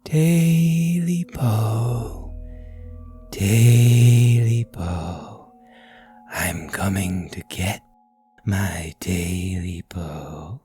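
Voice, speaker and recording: An eerie, haunting creature from a ghost story, performed by a man. It draws its words out into a very slow, menacing wail and demands what was taken from it. Music plays behind the voice. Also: lowish voice, mellow. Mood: menacing, demanding. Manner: drawn-out, slow, menacing wailing chant.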